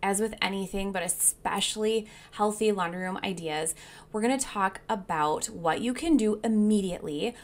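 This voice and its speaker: A woman narrates a home wellness explainer in a voice of ordinary pitch for a woman. Her delivery is steady and scripted, walking through tips and products she likes. It sounds like a voice-over read over slides. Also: moderate pace. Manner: steady, informative, recommends products she loves. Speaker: home wellness video host. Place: not seen, voice-over over slides.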